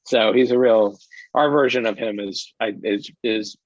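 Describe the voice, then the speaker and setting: A man answering as an interview guest, a director speaking casually in halting, hesitant phrases. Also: on the low side, no room sound. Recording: dry but slightly dull audio.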